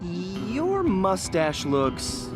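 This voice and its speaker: A man with an American accent voicing an easygoing, wry thief in an animated game, casual and teasing. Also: high-pitched, very slow, loud music behind the voice, full character voice. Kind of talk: animated video game acting.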